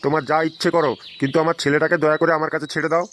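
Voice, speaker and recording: A man's voice: fairly high pitch, level tone, rapid-fire, natural voice. A man voicing an anxious old father-in-law in a comedy cartoon, pleading and hesitant, often repeating himself. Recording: noisy track with chirping birds.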